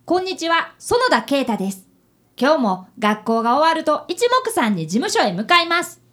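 Woman voicing a very high-pitched, earnest young boy. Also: big pitch swings, normal speed, a bit bright. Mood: earnest, polite. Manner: polite, eager, earnest. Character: polite, earnest fifth-grade boy, apprentice detective. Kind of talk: radio drama acting with first-person narration.

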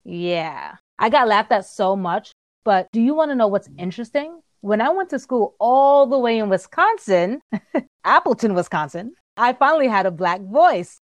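A woman's voice: a little high, very animated, normal speed, no room sound. A woman actor from Brooklyn, New York, telling a wry personal story. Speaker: actor guest.

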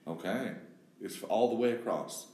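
A man from Wisconsin leading a group meeting, encouraging and lively, often laughing. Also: fairly low pitch, normal speed. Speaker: group leader. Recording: roomy echo.

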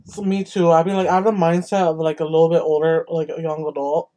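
A nineteen-year-old chatting casually with old friends in a relaxed, unhurried way. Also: medium pitch. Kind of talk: casual chat among friends.

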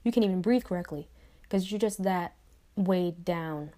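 A woman's voice: lowish voice, normal speed, warm tone. A young adult woman speaking to camera in a reflective motivational monologue, repeating phrases and tossing in conversational asides. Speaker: vlogger.

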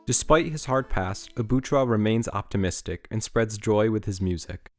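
Low-voiced male podcast narrator. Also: soft background music. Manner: measured, even read delivery. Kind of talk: scripted narration.

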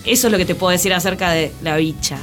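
An Argentine woman co-hosts a casual radio panel. She speaks conversationally and somewhat hesitantly, working out her thoughts as she goes. Music is clearly audible behind her. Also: on the low side, fast. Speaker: radio co-host. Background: background music.

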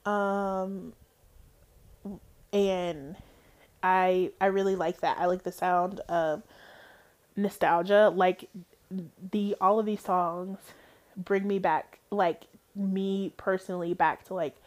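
A woman's voice: medium pitch, fairly even intonation, takes it slowly, pauses often, darkish tone. A young woman from North Georgia with an Atlanta Southern accent, chatting casually on her podcast, rambling with lots of fillers. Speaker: podcast host. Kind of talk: casual chat.

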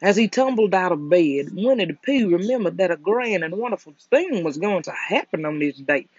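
A storyteller reading a children's book aloud for bedtime in a soothing, deliberate way. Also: mid-range voice, lively intonation, darkish tone, husky, no echo, lo-fi sound.

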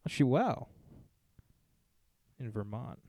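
Mellow, hesitant male podcast co-host. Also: mid-range voice, sing-song, slow, no room sound. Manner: rambling, hesitant, many fillers. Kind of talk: casual chat, reading listener stories aloud.

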